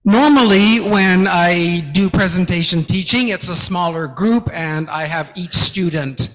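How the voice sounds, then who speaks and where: A man's voice: high-pitched, unhurried, a bit bright. A man giving an interactive lecture on presentation skills, emphatic and encouraging, counting aloud through drills in a large lecture hall. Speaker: guest lecturer. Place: large lecture hall with about two hundred people. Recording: echoey hall reverb.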